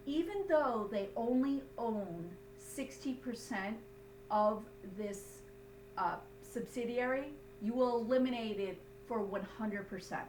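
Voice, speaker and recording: A woman instructor explaining a lesson step by step in an emphatic, didactic way, often checking understanding. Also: fairly high pitch. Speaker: accounting instructor. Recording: roomy, distant mic, faint hum noise.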